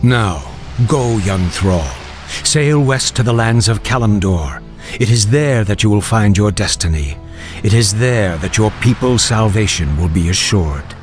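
Mysterious, low-voiced old prophet. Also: male voice, lively intonation, soft background music. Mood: grave, commanding. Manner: grave, portentous, measured. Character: mysterious old male prophet, solemn and foreboding. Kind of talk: dramatic fantasy game voice acting.

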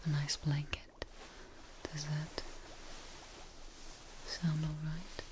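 A young woman whispering softly and soothingly, close to the mic, in an ASMR roleplay as a calm, eerie scientist. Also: low voice. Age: young adult. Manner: soft, slow, soothing, hushed close-up whispering. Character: calm, eerie female creature-maker scientist tending her experiment. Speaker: ASMR performer. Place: small dark indoor setup. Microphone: close microphone. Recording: close whisper with clear noise and clicks.

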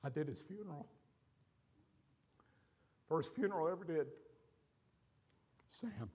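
An older man preaching from a church stage, telling a personal story with pauses and feeling. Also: fairly high pitch, lively intonation, dark tone, breathy edge. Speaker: pastor. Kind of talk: sermon storytelling.

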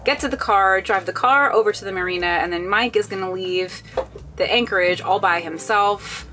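A woman's voice: medium pitch, normal speed, sharp tone, faint music behind. A woman in her thirties talking casually to a handheld camera in a small wooden boat cabin, wry and laughing at her mishaps. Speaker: sailing vlogger. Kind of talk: casual vlog talk to camera. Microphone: handheld camera at arm's length.

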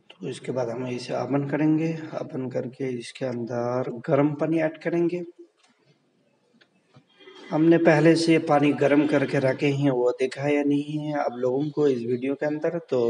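A man's voice: on the high side, dark tone, in a large hall, poor audio. A man narrating a home cooking video step by step at the stove, repeating himself and urging viewers to subscribe. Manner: slow, repetitive, keeps urging viewers to subscribe. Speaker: cooking vlogger.

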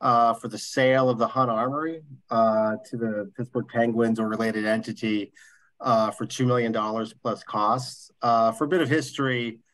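A man presenting as a development officer at a board meeting over a video call, halting, with many fillers and restarts. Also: medium pitch, fairly even intonation, a bit bright, low-quality recording.

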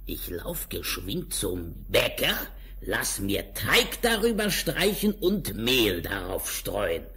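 A sly, greedy wolf from a fairy-tale record. The voice is gruff and forceful, swooping widely in pitch. It drags out its words with theatrical menace. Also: male voice, huge pitch swings, takes it slowly, heavily acted. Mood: grumbling, scheming. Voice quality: rough, gruff, growling. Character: sly, greedy, hungry big bad wolf. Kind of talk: German fairy-tale audio play acting.

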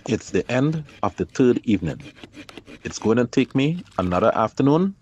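A middle-aged man, calmly narrating his own kayak outing in a measured, explanatory voice-over. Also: mid-range voice, dull audio, slight background noise. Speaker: kayaker and channel host.

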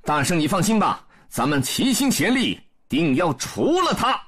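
A man's voice: on the high side, big pitch swings, moderate pace, fairly bright tone, husky, pitched above the performer's own voice, full character voice. A man voicing a proud, righteous, courteous warrior god in a storybook, with formal, declamatory delivery. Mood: righteously indignant.

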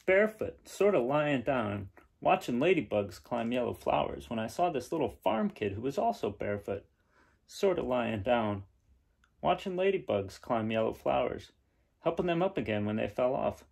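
A man's voice: mid-range voice, sing-song, moderate pace, vocal fry, a little mellow, breathy edge. A man in his thirties talking casually to camera as he presents and reads a storybook. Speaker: storybook reader. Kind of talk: casual read-aloud to camera. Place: small room at home, indoors.

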